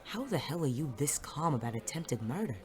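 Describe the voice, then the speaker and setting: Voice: mid-range voice, very animated, brisk, lightly acted. An actor playing a weary, cynical hard-boiled private detective in a noir audio drama, wry and sardonic. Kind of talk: 1940s noir audio drama acting and narration.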